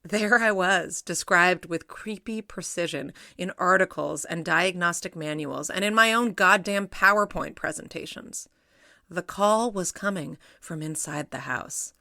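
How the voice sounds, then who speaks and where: Middle-aged woman, low creaky voice, dry close read, wry and reflective essay narration. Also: a little slow, pushed and bright, no room sound. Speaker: essay reader, psychologist. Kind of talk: reading her own personal essay aloud.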